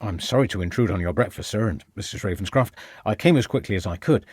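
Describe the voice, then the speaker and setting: A man's voice: on the low side, expressive, fast, a little mellow, slightly rough, no room sound, clean studio sound. A man voicing a young, red-faced village constable who reports earnestly and deferentially.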